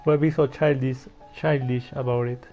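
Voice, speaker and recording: A man's voice: mid-range voice, fairly even intonation, normal speed, dark tone. A man giving casual commentary as he plays a game. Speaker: player reacting to the game. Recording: dull lo-fi mic, faint game music.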